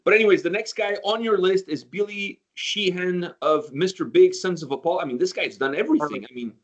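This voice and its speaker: A chatty man from Canada hosts a casual interview, rambling through asides. His voice sits a bit higher than usual and comes through with a dull, muffled tone. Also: a little fast.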